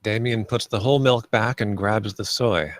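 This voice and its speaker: A man's voice: on the low side, moderate pace, dry recording, clean studio sound. A man reading stage directions aloud as scripted narration.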